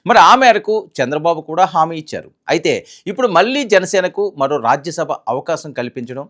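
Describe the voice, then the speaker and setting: Animated, higher-pitched man presenting news. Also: fairly quick. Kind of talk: scripted political news analysis narration.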